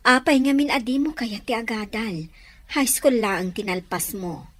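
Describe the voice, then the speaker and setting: A woman's voice: fairly high pitch, a little slow, a bit bright, no room sound. A woman playing a concerned, nagging mother of a grown son, with a Filipino accent, in an audio drama. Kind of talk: Ilocano audio drama acting.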